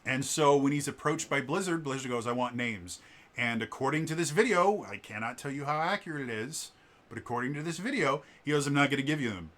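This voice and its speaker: Chatty bass-voiced man with a stutter. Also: expressive, a little fast, fairly bright tone. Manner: stutters on repeated sounds; explanatory, chatty, with many fillers. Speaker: vlogger. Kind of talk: casual commentary vlog.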